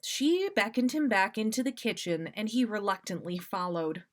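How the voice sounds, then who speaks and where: A woman's voice: medium pitch, lively intonation, moderate pace. A woman reading a story aloud expressively as the host of a story session. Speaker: podcast host reading listener fan fiction.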